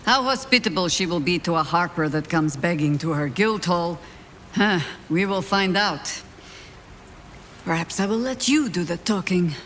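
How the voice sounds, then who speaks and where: A woman playing an older, world-weary warrior leader, with a measured, dry, sardonic manner in a fantasy game drama. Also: on the low side, lively intonation, fairly bright tone, a little hiss or hum. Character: wry, world-weary old female warrior, pragmatic leader.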